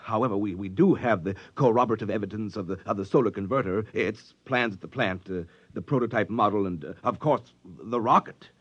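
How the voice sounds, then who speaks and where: An actor playing a flustered, stuffy company official in a 1950s radio drama, formal and officious, with a stammering manner. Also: male voice, on the low side, a little fast, few pauses, breathy edge. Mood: anxious, officious. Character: flustered, stuffy male corporate official defending himself. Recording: dull, reverberant 1950s radio recording.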